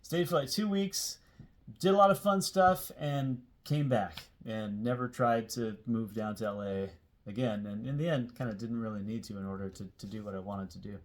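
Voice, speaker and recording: A man's voice: on the low side, moderate pace. American guy in his 40s, chatty storytime vibe, informal and kinda rambly, punches certain words. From Seattle area, United States. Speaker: host telling a personal story. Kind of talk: casual storytelling to camera.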